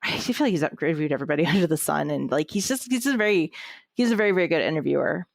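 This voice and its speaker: Low-voiced woman rambling casually. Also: lively intonation, racing, vocal fry, dry recording, clean studio sound. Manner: rambling, many fillers and restarts. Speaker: podcast co-host. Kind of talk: casual music review chat.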